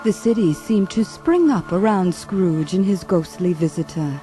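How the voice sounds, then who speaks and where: A woman narrating a ghostly radio drama in a solemn, measured, dramatic storytelling style. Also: on the low side, warm tone, close to an everyday voice. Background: music.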